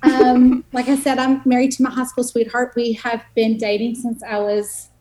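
Chatty woman from East Texas, fairly high voice. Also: monotone, normal speed, dark tone, poor audio. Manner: chatty, laughs at herself. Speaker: podcast guest. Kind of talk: casual conversational storytelling.

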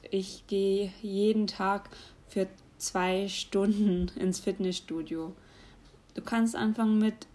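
A young woman, giving casual advice in a chatty way, often laughing and pausing with fillers. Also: medium pitch, darkish tone. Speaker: vlogger.

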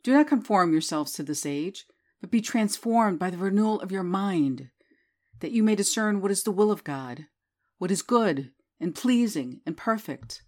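A woman leading a guided prayer meditation, reciting scripture in a slow, soothing way. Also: medium pitch, lively intonation, darkish tone. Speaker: prayer leader.

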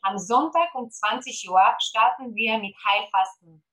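A Polish-accented woman comes across bright and forceful, her voice sitting a bit high. She talks eagerly as a podcast guest and keeps filling pauses with hesitations. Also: lively intonation, rough recording. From Poland. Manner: eager, many fillers, promotional. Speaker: guest, gut health coach. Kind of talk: podcast interview.

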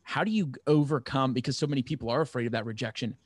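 A man's voice: mid-range voice, racing, no room sound, studio quality. A man interviewing in a casual, rambling way, thinking aloud as he forms his questions. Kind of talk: casual, loosely brainstormed interview chat.

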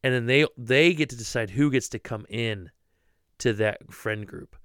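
A man's voice: medium pitch, moderate pace, fairly bright tone, clear, resonant tone, no echo, clean studio sound. A man from Ohio co-hosting a ministry podcast in casual chat, talkative, often repeating words as he starts a thought. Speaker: podcast co-host, youth pastor.

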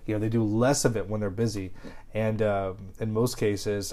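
A man hosting a solo marketing podcast while congested with a head cold, talking in an energetic, casual, pep-talk way. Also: on the low side. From Kentucky. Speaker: podcast host.

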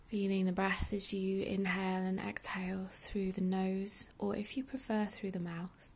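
A woman leading a guided meditation in a soothing, unhurried, breathy soft delivery with pauses. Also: medium pitch, flat delivery. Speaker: meditation guide, yoga teacher.

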